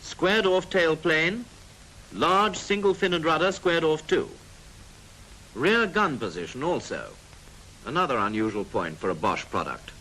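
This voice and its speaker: Animated 1940s British male film narrator. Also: a little high, measured pace. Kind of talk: 1940s military instructional film narration, scripted. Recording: noisy 1940s film soundtrack.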